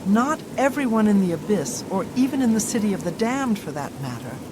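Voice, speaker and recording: A woman voicing a proud fallen sorceress in a fantasy game, speaking in a measured, formal and solemn way. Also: medium pitch, big pitch swings, background noise, close to an everyday voice. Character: proud fallen sorceress, a damned soul, faintly regretful. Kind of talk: fantasy video game character acting.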